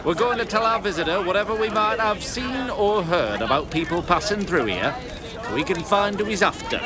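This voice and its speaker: An actor playing a genial but scheming small-town mayor in an audio drama, folksy, pompous and placating. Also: male voice, high-pitched, lively intonation, normal speed, a loud crowd. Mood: placating, officious. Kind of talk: audio fiction drama acting.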